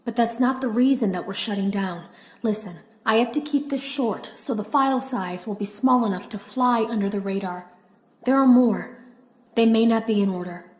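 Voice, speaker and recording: A woman's voice: a little high, normal speed, slight background noise, natural voice. A woman playing a worried, earnest game tester leaving secret warning logs in a video game, sounding uneasy. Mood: urgent. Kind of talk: video game audio log acting. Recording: echoey audio log.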